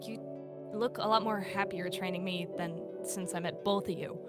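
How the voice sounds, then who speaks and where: A woman's voice: lowish voice, steady tone, moderate pace, natural voice. A woman voicing an earnest young male apprentice cat in a story roleplay, pleading and hesitant as he frets over his mentor. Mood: concerned. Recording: music behind the voice.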